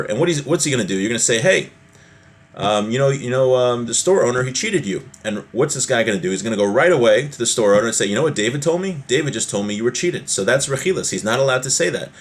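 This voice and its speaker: A man's voice: mid-range voice, animated, fast talker. A man giving an informal religious law lesson, reading from a text and explaining it with everyday hypothetical examples. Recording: noticeable background noise.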